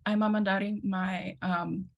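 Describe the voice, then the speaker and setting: A woman's voice: mid-range voice, little pitch movement, a little slow, vocal fry, lo-fi sound. A young woman, born in the US and raised in China, reading her statement as a panelist in a plain listing way with some fillers.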